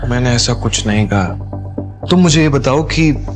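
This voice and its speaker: A man acting in an audio drama as a proud young man, assertive and deliberate. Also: medium pitch, over music, natural voice. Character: proud, self-assured young man seeking a job.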